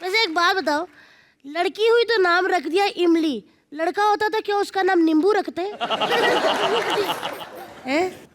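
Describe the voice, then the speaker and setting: A woman hosting a comedy show, teasing guests and the audience with quick playful jokes. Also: very high-pitched. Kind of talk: teasing stand-up banter with audience. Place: TV studio stage with live audience. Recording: loud studio audience and noise.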